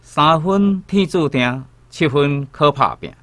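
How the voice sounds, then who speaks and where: A man with a Taiwanese accent playing a reasonable gentleman, a placating father in a radio drama. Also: fairly high pitch, animated, dry recording. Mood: placating, advising. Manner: measured, reasoning.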